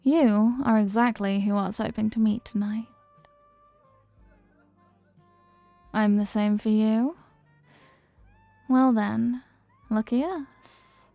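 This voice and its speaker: A woman's voice: fairly high pitch, fairly even intonation, takes it slowly, mellow, no room sound, soft background music. A woman playing a flirty, playful stranger at a New Year's party in an intimate audio roleplay, with a coy, teasing, murmuring delivery. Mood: tender, eager.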